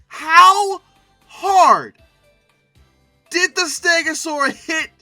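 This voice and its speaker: A host giving casual, humorous commentary on pictures, rambling through asides and breaking into animated, mock-outraged exclamations. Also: extremely high pitch, extremely slow, no room sound, soft background music.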